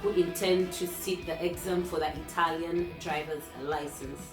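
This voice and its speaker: A woman's voice: on the low side, fairly even intonation, normal speed, over music. A woman who is a native English speaker, hosting her own channel and chatting directly to viewers as she walks through numbered tips. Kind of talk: casual tips talk to viewers. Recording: roomy echo.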